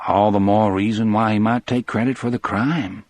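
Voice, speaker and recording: A man's voice: on the low side, steady tone, moderate pace, a little mellow, no echo, close to an everyday voice. A man voicing a blunt, skeptical prison guard in an audiobook, reasoning matter-of-factly.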